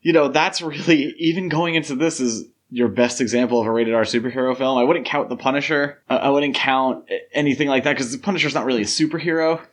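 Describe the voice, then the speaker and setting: A man's voice: medium pitch, quick pace, hardly any pauses. A man hosting a podcast, discussing film in a casual but detailed, explanatory way. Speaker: podcast host.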